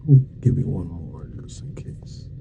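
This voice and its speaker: A soft-spoken man playing a dentist in an ASMR roleplay, murmuring casual, reassuring and unhurried patter to a patient. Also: low voice, lively intonation, vocal fry. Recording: dull muffled lo-fi sound with faint noise.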